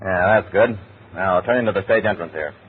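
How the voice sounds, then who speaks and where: An actor playing a curt, commanding homicide police captain in an old-time radio crime drama, on a noisy, tinny recording. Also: male voice, sits low, brisk, close to an everyday voice. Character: brisk, businesslike male homicide police captain.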